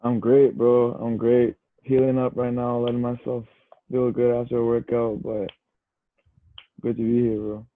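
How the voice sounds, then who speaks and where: A man's voice: medium pitch, measured pace, dry recording. A young Canadian man, a university football player, chatting easily as a podcast guest, often saying "bro" and "you know". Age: young adult. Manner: relaxed, easygoing, says "bro" and "you know" a lot. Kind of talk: casual podcast chat. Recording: tinny phone-like line, dry.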